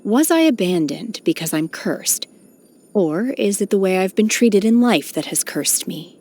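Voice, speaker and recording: An actress playing a solemn, aloof young woman trained by immortals in a video game, speaking in a formal, measured, restrained way with a touch of melancholy. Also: mid-range voice, lively intonation, a little mellow. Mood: somber, wistful. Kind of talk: scripted video game character voice lines.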